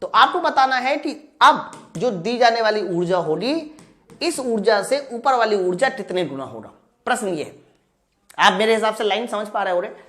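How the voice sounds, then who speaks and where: A woman's voice: mid-range voice, lively intonation, normal speed, a bit bright. A woman teaching physics in an online class, informally addressing students, asking questions and answering them herself. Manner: asks questions and answers them herself, repeats key lines. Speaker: physics teacher for exam preparation. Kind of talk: live online class, explaining problems step by step.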